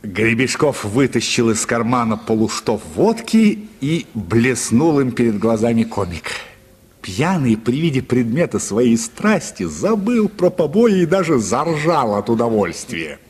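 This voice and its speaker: A man's voice: medium pitch, very animated, a little slow, slightly rough. A man narrating a literary short story for a radio play in a dramatic storytelling style.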